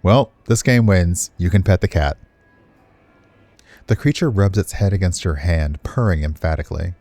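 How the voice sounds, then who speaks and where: A man's voice: low-pitched, normal speed, a little mellow, no echo, faint music behind. A man narrating a visual novel expressively, with casual commentary as he streams it. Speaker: game streamer.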